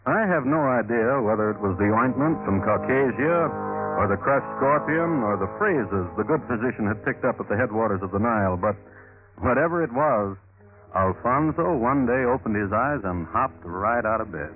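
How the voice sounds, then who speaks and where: A man's voice: medium pitch, animated, normal speed, close to an everyday voice. Man playing a wry henchman storyteller, tinny old radio. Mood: wry narrating. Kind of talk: old-time radio drama narration and acting. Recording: tinny old radio broadcast, music underneath.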